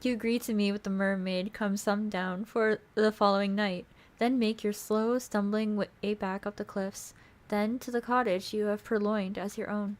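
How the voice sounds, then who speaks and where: A woman's voice: medium pitch, steady tone, normal speed. A woman streamer who narrates a visual novel aloud and chats casually with viewers. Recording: music playing behind the voice. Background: music.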